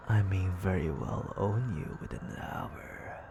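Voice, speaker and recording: A man voicing a cruel, condescending demon lord in an ASMR audio roleplay, murmuring slow taunts at the listener with a breathy, creaky voice. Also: sits low, expressive, background noise, heavily acted. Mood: coolly menacing. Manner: condescending, taunting, languid and deliberate. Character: smug, menacing male demon lord, cruel and toying.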